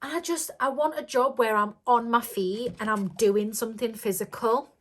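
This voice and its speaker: A woman chatting casually to camera about budgeting, rambling with lots of ums and little giggles, her voice a bit croaky. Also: a little high, normal speed. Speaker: budgeting vlogger.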